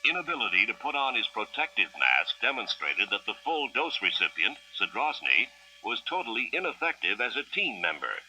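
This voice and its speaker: A man's voice: medium pitch, big pitch swings, brisk, bright, forceful tone, a little hiss or hum, low-quality recording. An American man reading formal, clinical narration for an educational film in the style of the 1960s, on an old film soundtrack.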